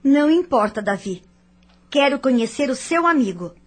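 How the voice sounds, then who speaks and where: A woman's voice: high voice, lively intonation, moderate pace, husky. A woman with a Brazilian accent playing a suspicious, jealous young wife in a radio soap opera, insistent and dramatic. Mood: probing, insistent.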